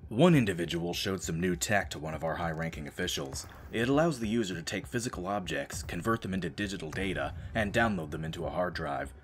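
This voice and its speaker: A man acting a world-weary, deadpan space marine reduced to janitor, telling his story as dramatic audio drama narration. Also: deep voice, fairly quick, hardly any pauses, slight background noise. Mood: dry, explanatory. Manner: sardonic, deadpan, brooding. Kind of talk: dramatic first-person scripted narration.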